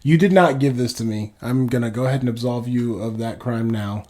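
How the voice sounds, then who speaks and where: A man in his thirties, congested and low on energy, co-hosting a podcast in casual, rambling chat full of swearing. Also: brisk, a little mellow. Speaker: podcast co-host.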